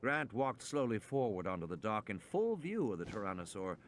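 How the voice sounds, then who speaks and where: A man reading a suspense novel as a narrator, with measured, enunciated dramatic delivery. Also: on the low side, very animated, a little hiss or hum. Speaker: audiobook narrator.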